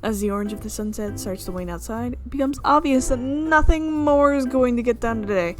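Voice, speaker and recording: A woman streamer reading a visual novel aloud in an expressive storytelling way, with asides. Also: fairly high pitch, brisk. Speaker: video game streamer. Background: game music.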